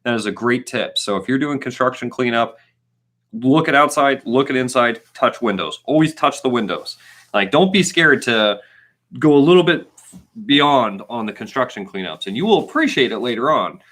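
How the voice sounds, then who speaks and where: A man in his thirties co-hosting a casual live question-and-answer chat, talking in an animated, emphatic, informal way. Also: medium pitch, normal speed. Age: 30s. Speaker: co-host of a trade channel.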